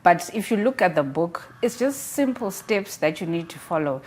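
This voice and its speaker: A woman's voice: lowish voice, animated, normal speed, darkish tone. A woman reviewing a book on a studio talk show, earnest and encouraging, with many casual fillers.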